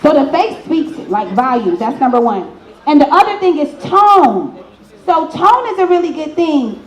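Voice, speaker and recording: A woman hosting a live relationship talk, speaking in an animated, direct way and checking in with her listeners. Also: sits high, moderate pace. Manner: animated, direct, asks the room to confirm. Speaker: host of a relationship therapy talk show. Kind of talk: lively advice talk to an audience. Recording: roomy, echoing live event space.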